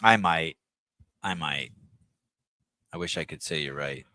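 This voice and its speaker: A man's voice: very deep voice, a little slow, sharp tone, dry recording, studio quality. A man hosting a podcast, chatting crudely and jokingly and reading out chat comments. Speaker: podcast host.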